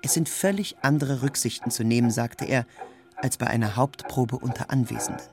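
A man's voice: medium pitch, normal speed, a little mellow, soft background music. A man reading a scripted literary narration in a sober, factual manner.

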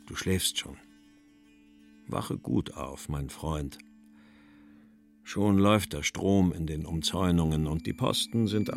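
An actor reading as a sober, earnest male writer, in a deliberate, measured recitation for a literary radio feature. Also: a bass voice, creaky, exaggerated voice. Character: male writer, sober, earnest, read from his letters and verses. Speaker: quotation reader.

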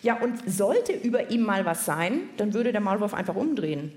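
A woman hosting a live science podcast for children, speaking in an animated way and often putting questions to her audience. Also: mid-range voice, moderate pace. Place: indoor live podcast festival stage. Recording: echoey live venue, faint noise.

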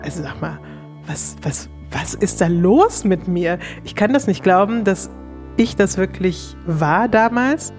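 A woman's voice: medium pitch, expressive, a little slow, darkish tone, soft background music. A woman telling her own story casually and frankly, quoting her thoughts in colloquial speech.

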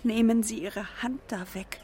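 A woman's voice: a little high, steady tone, unhurried, warm tone, lightly acted. An actress in a radio drama playing a distraught, fragile woman searching for her missing husband. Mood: indignant. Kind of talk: dramatic German radio play acting.

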